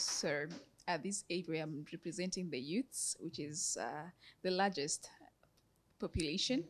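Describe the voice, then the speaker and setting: A woman with a Tanzanian accent speaks in a press interview as an ambassador. Her voice sits fairly low for a woman, and she talks into a handheld microphone indoors. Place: bright indoor room. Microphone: handheld reporter's microphone.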